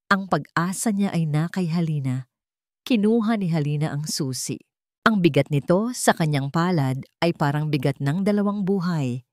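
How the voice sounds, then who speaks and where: A woman's voice: low voice, dry recording, studio quality. A woman reading a suspenseful story as a scripted, dramatic narration.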